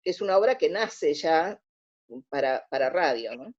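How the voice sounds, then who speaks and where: A woman's voice: low-pitched, expressive, measured pace, darkish tone, muffled recording. An Argentine woman talking as an interview guest, explaining her opinions and often rephrasing mid-sentence. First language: Spanish. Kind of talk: conversational radio interview.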